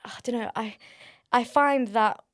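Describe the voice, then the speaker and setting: A woman, hosting a casual interview in a chatty, animated way and acting out little quotes. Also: on the high side, unhurried, dry recording, clean studio sound. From Somalia. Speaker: podcast host.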